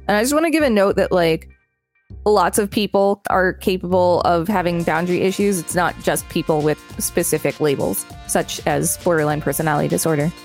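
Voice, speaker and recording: A woman with a slightly low voice co-hosts a podcast, reading reference material aloud and commenting casually. Faint music plays behind her as she speaks. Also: a little mellow. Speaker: podcast co-host reading an encyclopedia article. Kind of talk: scripted narration read aloud, with brief casual commentary.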